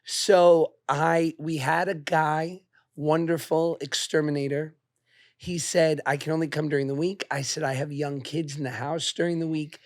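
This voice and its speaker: Podcast host, male, 30s, a touch hoarse, playful teasing banter, dry close sound. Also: fairly high pitch, normal speed, no echo. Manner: playful, teasing, laughs often; tells anecdotes in an animated way. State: slightly hoarse. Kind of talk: casual chat.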